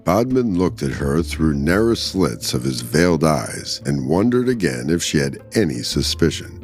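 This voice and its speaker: A man with a low voice hosts a short story podcast. He speaks as a storyteller, reading and remarking on classic fiction. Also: big pitch swings, normal speed, soft background music. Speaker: story podcast narrator and host. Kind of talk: scripted story narration with casual commentary.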